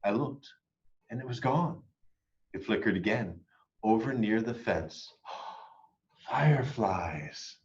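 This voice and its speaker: A man reading a children's picture book aloud expressively, moving between hushed and emphatic delivery. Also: fairly low pitch, lively intonation, slow pace, long pauses, muffled recording. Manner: dramatic, hushed and emphatic by turns. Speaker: read-aloud storyteller.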